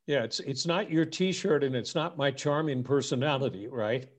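A man's voice: medium pitch, moderate pace. A man hosting a podcast, talking in a friendly, conversational way and often repeating words as he goes. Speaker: podcast host. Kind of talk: casual interview chat.

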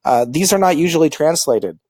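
A man giving an informal lecture on manga, halting often with ums and uhs. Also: fairly high pitch, expressive, darkish tone, no echo, clean studio sound. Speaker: school librarian teaching manga basics.

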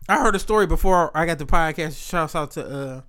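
A man's voice: on the high side, brisk, bright, forceful tone, clear, resonant tone. A man hosting a casual podcast, joking and teasing his co-hosts and laughing often. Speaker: podcast host.

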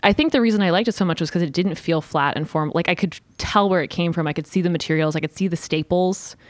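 A woman's voice: on the low side, fast talker, no echo. A woman chatting informally about art as a podcast panelist, often saying "like" as she talks. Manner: informal, frequent "like". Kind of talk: casual chat about art.

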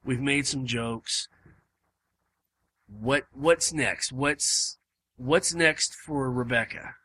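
A man hosts a relaxed podcast in a room at home. His voice is hoarse and wearing thin, yet it keeps a bright, forward edge. He rambles and restarts often, filling the gaps with ums. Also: medium pitch, extremely slow. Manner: rambling, with many fillers and restarts. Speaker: podcast host. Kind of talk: casual chat.

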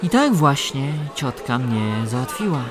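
A man's voice: medium pitch, big pitch swings, a little slow, over music. A man playing an exasperated young painter in a radio comedy, complaining in an aggrieved, dramatic way. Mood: weary, complaining. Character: exasperated, whiny young male painter smothered by his aunt.

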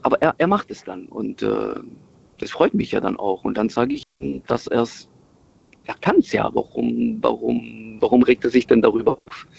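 Middle-aged guy, italian native, phoning in on speakerphone, raspy and kinda creaky, lots of ähs and restarts, muffled line. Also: medium pitch, lively intonation, moderate pace, darkish tone. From Italy, moved to Germany at six. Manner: hesitant, many fillers and restarts. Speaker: radio caller. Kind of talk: casual phone-in chat. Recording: muffled phone-in call on speakerphone.

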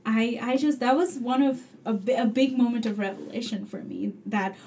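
Mellow halting Bangladeshi woman. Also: on the high side, slight background noise. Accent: Bangladeshi English. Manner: hesitant, halting, many fillers and restarts. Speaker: PhD student guest. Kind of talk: casual interview answer.

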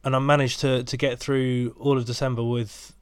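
A man's voice: mid-range voice, level tone, moderate pace, smooth, no room sound, studio quality. A man hosting his podcast, sounding bunged up from a cold and rambling with plenty of ums as he chats. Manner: rambling, many ums and restarts. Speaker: podcast host. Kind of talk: casual solo podcast intro.

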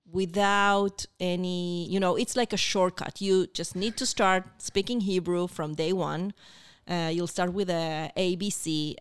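Argentine accented woman, 40s, lowish smooth voice, explaining stuff casually as an interview guest. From Buenos Aires, Argentina. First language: Spanish. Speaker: interview guest, university international student program staff.

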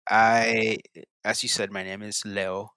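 Young guy from el salvador, bright tone, kinda halting, flat delivery. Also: on the low side, smooth, no room sound. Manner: hesitant, repeats words. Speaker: guest interpreter. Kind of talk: casual conversation.

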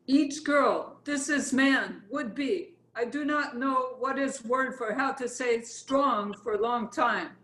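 A woman's voice: on the high side, slow, hardly any pauses, a bit bright. A woman playing a brazen foreign artists' model with a Russian accent, speaking blunt, clipped broken English in a play reading. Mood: blunt, confident. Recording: roomy, echoey sound.

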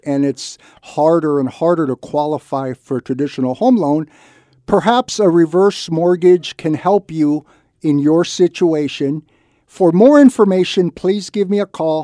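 Higher-voiced man hosting a talk show, mellow tone. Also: no echo, clean studio sound. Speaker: radio show host and reverse mortgage loan officer. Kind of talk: conversational explanatory radio talk.

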